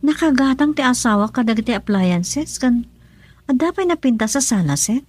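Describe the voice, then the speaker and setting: A woman's voice: a little high, animated, moderate pace, a little mellow, no room sound. A woman playing a young adult daughter who questions her mother and sounds weary from illness in a radio drama. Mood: questioning. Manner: questioning, then faint and tired.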